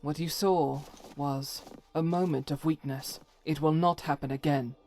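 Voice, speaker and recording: A woman's voice: low voice, steady tone, unhurried, darkish tone, soft background music. A woman voicing a devout, stern holy warrior who carries private doubt, speaking solemnly and formally, as in a fantasy game. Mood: guarded, resolute. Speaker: voiced follower character in a fantasy game mod. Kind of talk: scripted dramatic game dialogue.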